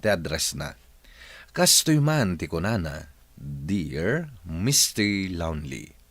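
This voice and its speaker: A man's voice: sits low, sing-song, takes it slowly, a bit bright, no room sound. A man with a Filipino accent narrating and hosting a radio drama with scripted, dramatic delivery.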